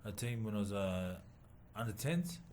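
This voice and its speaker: A man from New Zealand, a boxer, answering interview questions haltingly with many pauses and fillers. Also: lowish voice, animated, slight background noise. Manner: halting, many ums and uhs, soft-spoken. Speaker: boxer and former rugby league player, guest. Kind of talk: casual interview.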